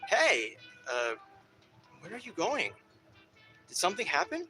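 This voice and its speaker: A man's voice: high-pitched, wildly swooping pitch, unhurried, pushed and bright, faint music behind, exaggerated voice. A man voicing a casual young villager in a video game, informal and a little hesitant. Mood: puzzled.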